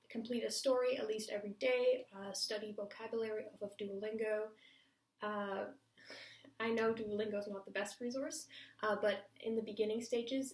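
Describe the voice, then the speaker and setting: A woman vlogging to camera about her language-learning goals, talking casually and hesitantly with many fillers and pausing to check herself. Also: mid-range voice. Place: home room, cars outside. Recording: echoey room, voice a bit far off.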